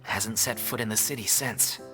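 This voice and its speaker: A man's voice: on the low side, moderate pace, music in the background, natural voice. An actor voicing an earnest male member of a theatre troupe in a video game, telling things expressively. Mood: concerned.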